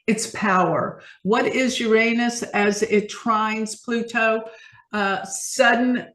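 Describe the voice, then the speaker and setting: A woman's voice: a little high, fairly even intonation, slow, a bit bright. A woman explaining astrology as an interview guest, emphatic and enthusiastic, often posing questions and answering them herself. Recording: roomy, echoing sound.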